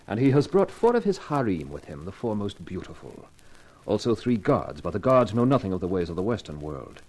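An actor playing a suave, exacting Arabian sheik with formal, measured, precise speech in a 1950s radio drama. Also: male voice, lowish voice, very animated, a little mellow, slightly rough, full character voice, poor audio. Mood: smooth, formal.

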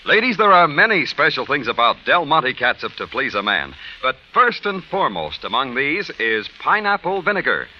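A man's voice: mid-range voice, wildly swooping pitch, moderate pace, few pauses, slight background noise. A man announcing and selling in polished, emphatic 1940s radio commercial style. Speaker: radio announcer reading sponsor ads. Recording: muffled vintage radio recording.

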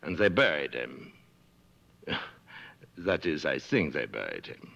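A man's voice: rumbling low, big pitch swings, slow, gravelly, pushed and bright, highs cut off, heavily acted. An actor playing a shifty, murderous outlaw trader in an old radio drama, evasive and insinuating, drawing out his phrases. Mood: insinuating, uneasy. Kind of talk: 1950s radio drama acting. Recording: old radio broadcast recording.

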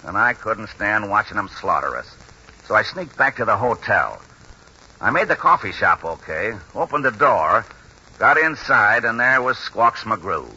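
A man playing a folksy American grandfather and former baseball trainer, animated and ungrammatical, in a 1940s radio drama. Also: fairly low pitch, measured pace, slightly rough, heavily acted. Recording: muffled, hissy 1940s radio recording.

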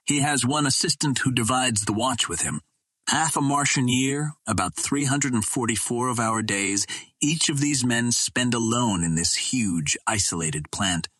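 A man reading a classic adventure novel aloud as scripted narration, in a measured, formal storytelling manner. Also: medium pitch, a bit bright, husky, no echo. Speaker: audiobook reader.

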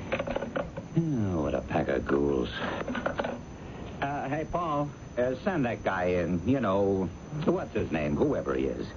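Deep, animated, gruff police inspector. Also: male voice. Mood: exasperated, sarcastic. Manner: world-weary, offhand, colloquial muttering with hesitations. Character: gruff, harried, sarcastic male police inspector. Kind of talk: 1970s radio drama acting. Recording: muffled, noisy 1970s radio broadcast.

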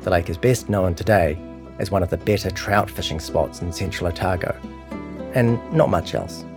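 Low-voiced New man narrating over music. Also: normal speed. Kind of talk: scripted longform article narration. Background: guitar music.

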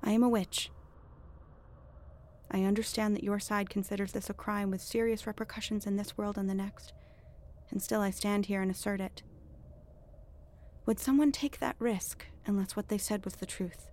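A woman's voice: mid-range voice, fairly even intonation, moderate pace, long pauses, darkish tone, natural voice. An actress playing an articulate, sarcastic and self-assured woman who claims to be a witch, in an audio drama. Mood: solemn, insistent. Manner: articulate, sarcastic, persuasive, mock-patient.

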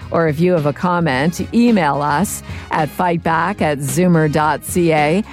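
A woman host presenting a radio talk show, introducing guests and callers in a measured, announcing manner. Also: low-pitched, faint music behind.

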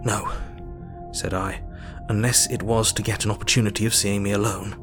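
A man playing a male doctor who narrates his own journal in a measured, sober way. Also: on the low side, level tone, over music, close to an everyday voice. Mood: troubled. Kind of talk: audio drama narration.

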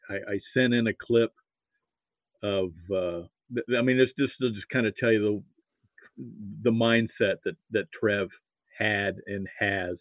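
An American man from Nebraska telling anecdotes casually and haltingly as a guest, over a narrow phone-like connection. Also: lowish voice, no room sound. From Nebraska, United States. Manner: halting, hesitant. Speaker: podcast guest. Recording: narrow tinny phone-like line.

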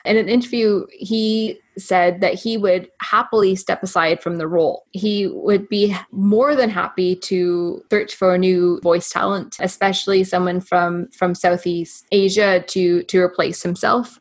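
A woman's voice: lowish voice, fairly even intonation, a little slow, hardly any pauses. A woman co-hosting a casual news podcast, agreeing and explaining points at length. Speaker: podcast co-host.

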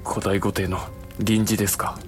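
A man's voice: on the low side, level tone, normal speed, faint music behind, natural voice. An actor playing an earnest, anxious samurai younger brother and advisor in a Japanese period audio drama, formal and deferential in his questioning.

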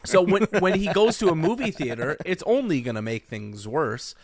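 A man's voice: fairly high pitch, sing-song, moderate pace, no echo. Joking man co-hosting a podcast, dry sound. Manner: joking, teasing. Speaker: podcast co-host. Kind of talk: casual chat.

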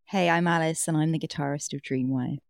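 Low-voiced English woman, hesitant and chatty. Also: steady tone, a little fast, dry recording, studio quality. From England. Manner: hesitant, many fillers like "like". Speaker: band guitarist, guest. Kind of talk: casual interview chat.

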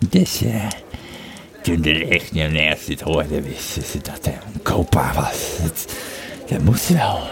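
A very deep-voiced old Roman soldier, acted by a man tells his grim war memories for an audio play. He speaks slowly and haltingly, with a swooping, dramatic delivery. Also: animated, a crowd in the background, heavily acted. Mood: grim, haunted. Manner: slow, halting, dramatic storyteller. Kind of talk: in-character storytelling in an audio play.

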